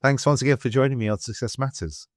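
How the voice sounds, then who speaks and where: A middle-aged British man hosting a podcast interview, soft-spoken and hesitant, with many fillers and restarts. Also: mid-range voice, steady tone, fast, no room sound, studio quality. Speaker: podcast host. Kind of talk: casual interview chat.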